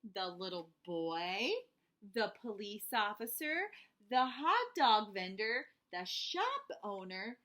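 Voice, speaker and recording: A woman reading a picture book aloud to children in an expressive, teacherly way, pausing to explain words and ask questions. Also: medium pitch. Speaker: read-aloud storyteller for children. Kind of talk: expressive picture-book read-aloud with asides to young listeners.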